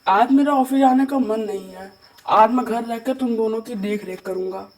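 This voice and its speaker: A man's voice: extremely high pitch, normal speed, poor audio. A man voicing a caring young husband and devoted son in a cartoon story, earnest and reassuring.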